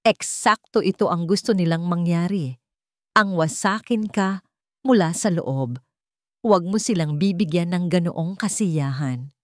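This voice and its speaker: Woman voicing a calm, commanding ally, lowish voice. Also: a little slow, no room sound, studio quality, close to an everyday voice. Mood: firm, urgent. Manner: steady, commanding. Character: calm, firm, authoritative Raja on the phone. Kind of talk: dramatic storybook dialogue.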